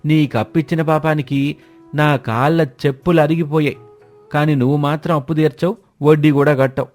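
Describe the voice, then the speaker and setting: A man voicing an angry, fed-up moneylender in a story, shouting his demands. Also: fairly high pitch, steady tone, fairly quick, pitched above the performer's own voice, lightly acted. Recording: music behind the voice.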